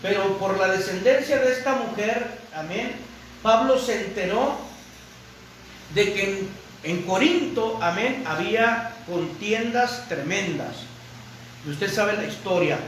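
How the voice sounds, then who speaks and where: A high-voiced man preaching emphatically at the front of a small church, heard from the back pews through heavy echo, repeating phrases and dropping in amens. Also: takes it slowly, a bit bright. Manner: emphatic, repeats words, punctuates with amens and rhetorical questions. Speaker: pastor. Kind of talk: sermon, expository preaching with Bible readings. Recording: very echoey room, distant mic, background noise.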